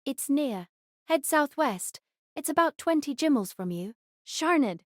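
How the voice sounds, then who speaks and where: A woman's voice: sits high, expressive, a little slow, no echo, studio quality. A woman voicing a young female squad captain who gives firm, decisive orders in an audio drama. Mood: commanding. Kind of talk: fan audio drama acting.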